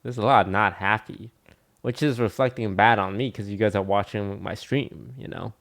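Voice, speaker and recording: A man's voice: fairly low pitch, fairly even intonation, moderate pace, gravelly, fairly bright tone. A man streaming, reacting to a video with dry, blunt, teasing remarks. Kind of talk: casual live-stream commentary.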